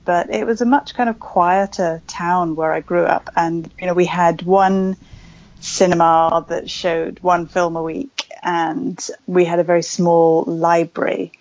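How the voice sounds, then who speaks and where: A woman's voice: on the low side, a little mellow, dry recording, dull audio. A woman from West Wales, an author, talking casually and at length as a podcast guest, with many fillers. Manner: chatty, rambling with fillers. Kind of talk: casual interview chat.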